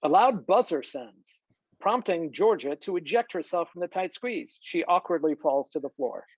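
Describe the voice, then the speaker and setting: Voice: mid-range voice, lively intonation, moderate pace, slightly rough, no echo. A narrator reads screenplay scene headings and stage directions as scripted narration over a video call. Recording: slightly dull video-call audio.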